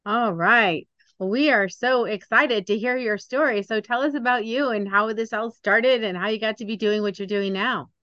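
Woman, podcast host, scripted intro, steady reading, dry room, muffled sound. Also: on the high side, normal speed, no room sound. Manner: reads steadily from a prepared script.

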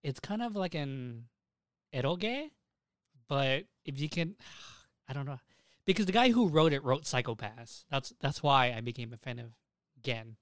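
Young male podcast host, smooth clear voice, close dry sound, emphatic, sweary casual chat. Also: mid-range voice, sing-song, a little slow, fairly bright tone, no room sound. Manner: profane, emphatic, repeats himself.

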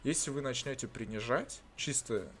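A man's voice: medium pitch, normal speed. A man from Russia in casual chat, talking bluntly and swearing often. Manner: swears a lot, animated and blunt. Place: lives in Israel.

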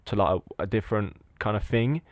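A man in his late teens chatting informally, telling stories in a halting, repetitive way. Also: fairly low pitch, no echo, studio quality. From Lithuania, living in England. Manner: informal, halting, repeats himself. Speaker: podcast guest, meme page owner. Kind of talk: casual chat, informal storytelling.

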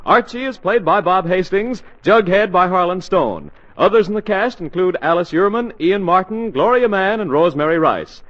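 High-voiced American 1940s radio announcer man. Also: animated, normal speed, hardly any pauses, fairly bright tone. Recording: muffled 1940s radio broadcast, faint music.

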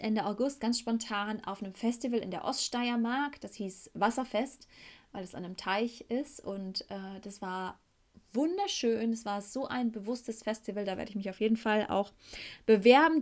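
Higher-voiced woman musing aloud. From Germany. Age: 30s. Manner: reflective, searching for words, soft and earnest. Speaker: podcast host and tantra teacher. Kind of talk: personal podcast monologue.

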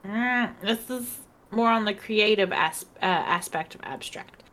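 A woman's voice: medium pitch, measured pace, a bit bright. Tipsy, tired woman co-host, rambling and giggling through casual chat. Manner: rambling, giggly, lots of fillers. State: tipsy and socially drained. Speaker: podcast co-host.

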